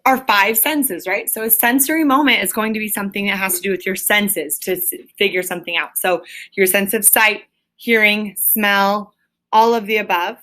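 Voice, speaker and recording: A woman's voice: medium pitch, fairly even intonation, normal speed, fairly bright tone. A woman teacher reading a story aloud and explaining it to pupils, with an emphatic, questioning delivery.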